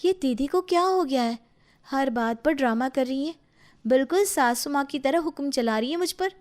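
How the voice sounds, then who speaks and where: A woman voicing an innocent, dutiful younger daughter-in-law, earnest and a little plaintive, in an animated family story. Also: high voice, normal speed. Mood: exasperated.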